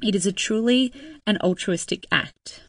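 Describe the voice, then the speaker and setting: A woman's voice: medium pitch, moderate pace, dry recording. An Australian woman hosting a podcast, bubbly and enthusiastic, reading her intro and then chatting with a guest. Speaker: podcast host. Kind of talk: scripted intro then casual chat.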